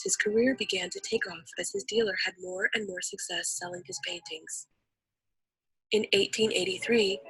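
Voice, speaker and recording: A woman's voice: a little high, vocal fry, fairly bright tone, poor audio. A woman reading a scripted educational narration as the narrator of an instructional video.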